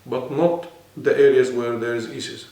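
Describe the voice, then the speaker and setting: A man's voice: mid-range voice, fairly even intonation, normal speed, a little mellow. A man with an Arabic accent, speaking non-native English and explaining earnestly as a guest in a serious interview. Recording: echoey room, mic at a distance.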